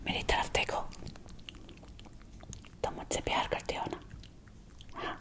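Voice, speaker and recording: A woman whispering as a centuries-old vampire girlfriend. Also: lightly acted. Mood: tender, tempting. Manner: hushed, urgent, intimate. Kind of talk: ASMR roleplay whispering. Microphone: close binaural mic.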